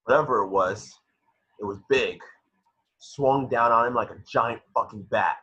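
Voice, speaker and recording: A man playing a blunt, hot-tempered engineer with a husky voice in an amateur table read of a sci-fi script. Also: mid-range voice, unhurried, pushed and bright, muffled recording. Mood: grim. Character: blunt, hot-tempered, foul-mouthed male spaceship engineer.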